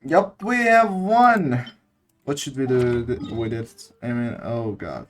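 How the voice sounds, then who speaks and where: A man talks through a game at a very slow, halting pace, thinking aloud as he goes. His pitch sits in an ordinary middle range, and faint noise runs behind the casual commentary. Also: animated. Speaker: gaming streamer.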